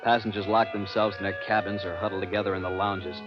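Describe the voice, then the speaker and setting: Deep-voiced tense radio narrator, man. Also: flat delivery, quick pace. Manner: tense, grave, dramatic. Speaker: first-person narrator and lead. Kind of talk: 1950s radio drama narration. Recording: dull old radio recording with music.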